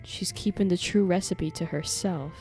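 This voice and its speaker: A woman's voice: fairly low pitch, normal speed, dark tone. A woman streaming a video game with casual, teasing live reactions and music playing behind her. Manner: playful, teasing.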